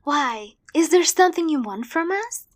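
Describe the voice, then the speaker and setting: A woman's voice: high voice, expressive, moderate pace. An actress playing a headstrong, defiant young woman squad leader in an audio drama. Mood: taunting. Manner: defiant, impulsive.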